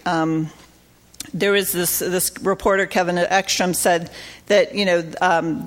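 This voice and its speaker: Chatty woman giving a conference talk. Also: lowish voice. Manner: conversational, halting with many fillers. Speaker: faith-based advocacy organizer.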